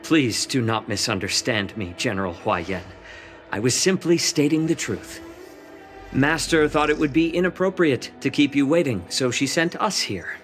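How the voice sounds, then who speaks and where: A man's voice: mid-range voice, lively intonation, moderate pace, faint music behind, close to an everyday voice. An actor playing a polite, formal male retainer delivering a message. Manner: courteous, formal. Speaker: retainer, messenger. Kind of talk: game voice acting.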